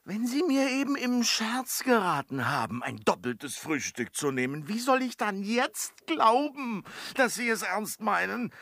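A drunken, cheeky manservant in a period radio comedy. His voice is bright and creaky, swooping all over in a dry close sound, wordy and merrily insolent. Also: high-pitched, big pitch swings, unhurried, hardly any pauses, no room sound, heavily acted. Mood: teasing, merry. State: drunk, giggly. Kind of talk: German stage comedy radio acting.

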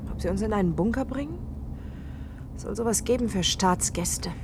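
An actress in a 1960s radio drama playing a doctor's young daughter, earnest, emphatic and brisk. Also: female voice, mid-range voice, lightly acted. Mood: uneasy. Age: young adult. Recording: faintly noisy old radio play.

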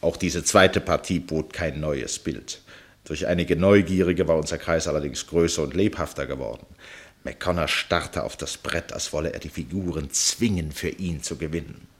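An actor narrating a literary radio play as a keenly observant, fascinated storyteller, with dramatic pauses and hushed phrase endings. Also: male voice, rumbling low, breathy edge, exaggerated voice. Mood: gripped, tense. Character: male storyteller, keen fascinated observer of a chess match.

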